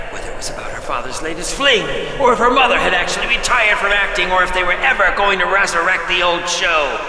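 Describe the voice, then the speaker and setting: An actor playing a menacing, sadistic horror film director in a video game, theatrical and drawn-out in delivery, in an echoey space. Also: male voice, high-pitched. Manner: flamboyant, drawn-out, swinging from hushed to shrieking. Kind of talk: theatrical game villain monologue and taunts. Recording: echoey reverberant hall with background noise.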